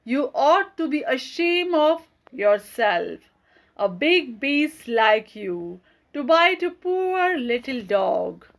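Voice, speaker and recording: Storybook little girl, plucky and earnest, high animated voice, quick to scold played by a female reader. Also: gravelly, heavily acted. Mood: indignant. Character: brave, anxious little girl, indignant and scolding. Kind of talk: children's storybook read aloud.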